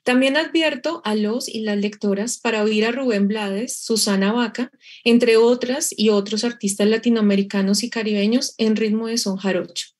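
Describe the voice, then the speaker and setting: A woman's voice: fairly high pitch, steady tone, moderate pace, darkish tone, husky. A young woman reading a prepared, formal book review aloud over a video call. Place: room indoors. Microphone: video call webcam.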